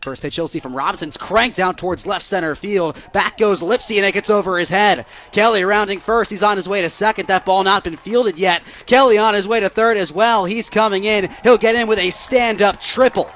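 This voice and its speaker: Young American man calling baseball, higher-pitched voice. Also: a little fast, hardly any pauses. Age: young adult. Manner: rises into excited calls on big plays. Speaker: baseball play-by-play broadcaster. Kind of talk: live radio sports play-by-play commentary.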